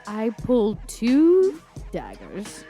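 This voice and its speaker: A woman's voice: on the high side, huge pitch swings, extremely slow, warm tone, soft background music. A woman talking casually as a player in a tabletop roleplaying game, describing her moves and working out rolls.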